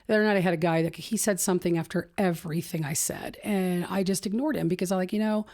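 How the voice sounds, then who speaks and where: An older woman with a low voice, a comedian chatting casually on a podcast and rambling through stories with laughs. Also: steady tone, fast, a little mellow. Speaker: comedian guest.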